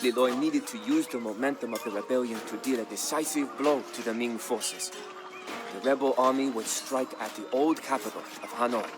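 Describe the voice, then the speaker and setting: Solemn male narrator over music. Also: mid-range voice. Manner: measured, solemn storytelling. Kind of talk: scripted dramatic historical narration. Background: background music.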